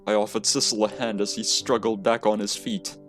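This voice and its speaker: A man reading a visual novel game aloud in expressive story narration. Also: on the low side, brisk. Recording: music behind the voice. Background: game music.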